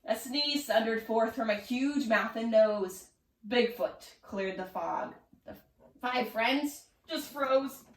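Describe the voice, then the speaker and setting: A woman's voice: fairly high pitch, slow pace. A woman expressively reading a rhyming children's story aloud. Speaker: storybook reader. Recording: echoey room, distant mic.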